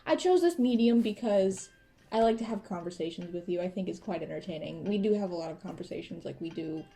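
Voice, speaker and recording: A woman's voice: fairly low pitch, expressive, fast, mellow, faint music behind. A teenage girl hosting a casual school podcast chat with her father, informal and giggly. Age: teens. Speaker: student host.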